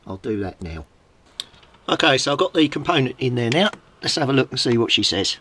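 A man with a British accent talks in a middle-pitched voice. He explains things casually, like a hobbyist presenting to followers, and thinks aloud as he works at an indoor bench. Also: big pitch swings, fairly quick, a bit bright, a little hiss or hum. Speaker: radio hobbyist presenting a repair update. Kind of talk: casual explanatory vlog while handling equipment. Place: indoors at a home workbench.

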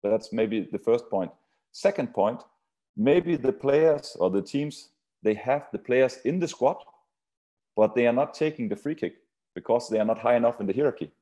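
A set-piece coach, a man whose first language is Swiss German, speaking haltingly with restarts on a webinar video call. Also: medium pitch, animated, warm tone. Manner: halting non-native English with restarts. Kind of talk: webinar talk.

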